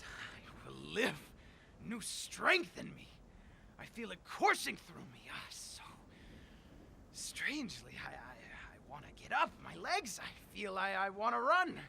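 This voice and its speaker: A performer in a radio drama playing a desperate, injured crash survivor in the desert, halting and repeating words between gasps and whispers. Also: mid-range voice, vocal fry, sharp tone, a little hiss or hum, heavily acted. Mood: relieved, exultant. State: in pain, parched, out of breath. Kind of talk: melodramatic radio drama acting.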